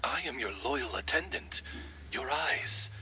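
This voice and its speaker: A man's voice: mid-range voice, expressive, a little slow, lightly acted, rough recording. A man playing a courtly raven familiar in a video game, a devoted, anxious attendant who speaks formally and deferentially. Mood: pleading, apologetic. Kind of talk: video game character acting. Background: prominent game music.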